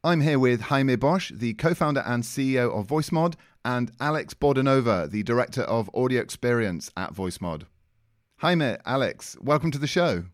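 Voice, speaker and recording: A man's voice: mid-range voice, lively intonation, moderate pace, no room sound, studio quality. A man hosting a podcast, introducing the show and interviewing guests in a conversational way. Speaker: podcast host.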